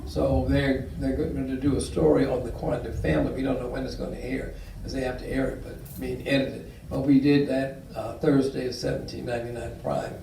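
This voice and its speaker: An older man speaks to an audience in a talk that rambles, full of fillers and restarts. His voice is gravelly and mellow. He was picked up from a distance in an echoey room with faint noise. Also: mid-range voice, flat delivery, brisk, few pauses. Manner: warm, rambling storyteller with frequent fillers. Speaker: guest speaker. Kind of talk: public talk with audience questions.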